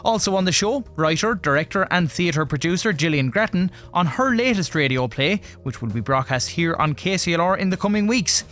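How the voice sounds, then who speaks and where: A man's voice: high voice, lively intonation, a little fast, few pauses, fairly bright tone, faint music behind. A man with an Irish accent presenting a radio programme, reading a scripted introduction as host.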